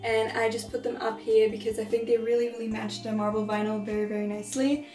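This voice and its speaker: A woman's voice: a little high, flat delivery, fast, darkish tone, soft background music. A young woman with German as her first language, casually showing her dorm room and often doubling words for emphasis. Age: young adult. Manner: chatty, repeats words for emphasis ("very, very"). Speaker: vlogger giving a dorm room tour. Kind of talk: casual tour narration.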